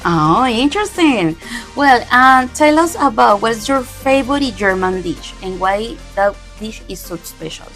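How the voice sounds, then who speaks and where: A woman's voice: a little high, animated, unhurried, fairly bright tone, soft background music. A woman, interviewing a teacher with an upbeat manner. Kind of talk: interview in English and German.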